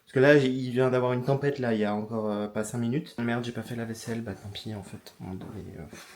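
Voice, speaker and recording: A man's voice: medium pitch, steady tone, fast talker, darkish tone. Young male vlogger, casual and rambling, mid-range voice, faint noise behind. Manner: chatty, rambling, many fillers and restarts. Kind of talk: casual vlog chat to camera.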